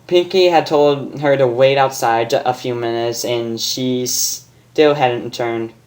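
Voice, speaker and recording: A man's voice: medium pitch, measured pace, a little mellow. A man narrating a story as an amateur reader, often stumbling and repeating words. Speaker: fan fiction reader.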